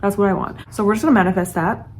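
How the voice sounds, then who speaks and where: A woman's voice: mid-range voice, steady tone, racing, a little mellow, a little hiss or hum. A woman in her late twenties, a book vlogger chatting casually and earnestly to her viewers. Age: late 20s. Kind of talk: casual chat to camera.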